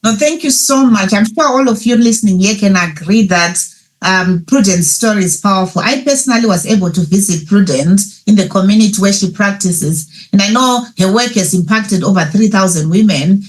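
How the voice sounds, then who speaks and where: A woman's voice: medium pitch, normal speed. Woman hosting a fireside chat, lots of encouraging mm's, on a video call. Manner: encouraging, frequent affirming "mm"s. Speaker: host, moderator.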